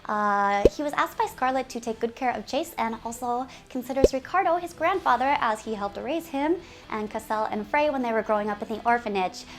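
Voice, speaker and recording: A woman hosting a game community video series, speaking to viewers in an animated, giggly, presenter's way. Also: a little high, a little fast, few pauses. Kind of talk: upbeat scripted video presenting with ad-libbed asides.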